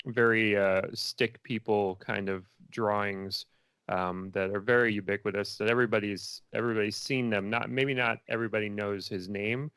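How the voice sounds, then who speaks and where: A man's voice: fairly low pitch, fairly even intonation, moderate pace, fairly bright tone, clean tone, no echo. A middle-aged man giving casual, reflective interview answers, feeling his way through his thoughts as he talks. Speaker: interview guest, filmmaker.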